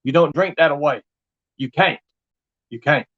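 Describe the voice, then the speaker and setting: A man's voice: a little high, normal speed, fairly bright tone, lo-fi sound. A man livestreaming in an insistent, repetitive, confrontational way. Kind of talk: impassioned monologue to an absent person.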